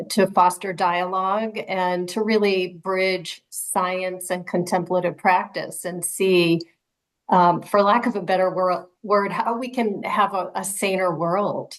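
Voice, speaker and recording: Host type: older woman, somewhat low voice, rambling questions, video call audio. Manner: warm, rambling questions with fillers. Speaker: interviewer, host. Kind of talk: casual interview. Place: small room at home.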